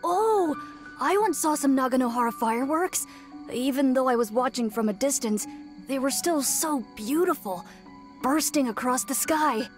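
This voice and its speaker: Small timid spirit boy: high, earnest, courteous game voice, soft music underneath. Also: expressive, normal speed. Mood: shy wonder. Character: shy, polite little one-eyed yokai boy. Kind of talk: video game character acting.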